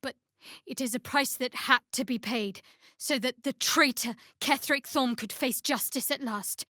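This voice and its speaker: An actress playing a guarded, devout young woman cleric of a dark goddess in a fantasy video game, with a measured, wry delivery. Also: high-pitched, dry recording, studio quality. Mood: measured, solemn.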